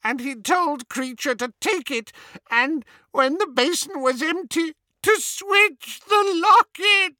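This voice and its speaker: High-pitched, sobbing old male house-elf. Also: measured pace, hardly any pauses, pushed and bright, dry recording, studio quality, heavily acted. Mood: sobbing, anguished. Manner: refers to self in third person, moaning. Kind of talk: audiobook character acting.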